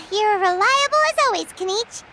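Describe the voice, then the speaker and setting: Tiny chirpy sprite, extremely high. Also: female voice, lively intonation, moderate pace, background noise. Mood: friendly, curious. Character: tiny chirpy childlike companion sprite, friendly and earnest. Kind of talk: video game voice acting. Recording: game music under the voice.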